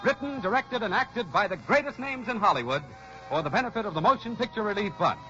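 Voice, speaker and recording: A man's voice: sits high, lively intonation, fast, bright, forceful tone. A man announcing a vintage radio show in an emphatic, formal showman style. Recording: dull vintage radio recording with music.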